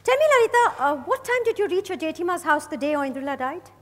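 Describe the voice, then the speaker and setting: A woman acting a high-voiced, commanding female investigator. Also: very animated, fast talker. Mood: probing. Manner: pointed, insistent questioning. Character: probing, commanding female investigator interrogating suspects. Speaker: stage actor. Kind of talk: dramatized play reading on stage. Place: large hotel ballroom stage. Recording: echoey hall from far off.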